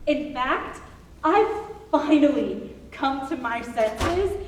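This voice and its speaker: Distant in an echoey room, a woman plays a jailed wife standing her ground in a staged drama. She speaks slowly and firmly, resolute, in a fairly high voice. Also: lively intonation, soft background music. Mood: defiant. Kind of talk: staged musical reading. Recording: distant mic, echoey room, faint noise.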